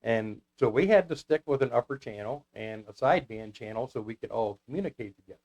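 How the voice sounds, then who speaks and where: A man's voice: medium pitch, animated, quick pace, darkish tone, low-quality recording. An American man chatting casually as an interview guest, telling stories in an anecdotal, conversational way. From United States. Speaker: guest, CB radio club founder.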